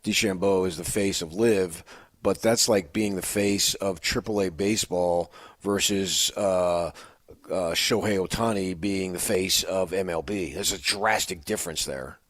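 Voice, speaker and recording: A man's voice: sits low, steady tone, measured pace, fairly bright tone, dry recording. An American man co-hosting sports radio, giving off-the-cuff opinions in a rambling, self-correcting way. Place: radio studio.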